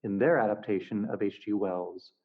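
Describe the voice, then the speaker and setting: A man's voice: on the low side, normal speed, natural voice. A man playing a scene-setting narrator, addressing the listener in a scripted radio drama introduction. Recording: tinny, phone-like narrow band.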